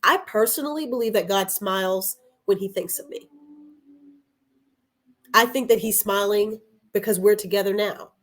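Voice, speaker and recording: A woman's voice: medium pitch, normal speed, long pauses. A woman speaks in an ordinary mid-range voice, reflective and conversational. She is earnest and tends to repeat her phrases and ask rhetorical questions as she thinks aloud. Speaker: podcast guest.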